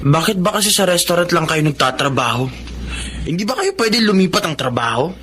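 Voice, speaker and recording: A man's voice: on the high side, very animated, a little fast, background noise. A young man playing an awkward, resentful teenage son with a Filipino accent, in an emotional drama. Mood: resentful, upset. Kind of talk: emotional Filipino radio-style drama acting.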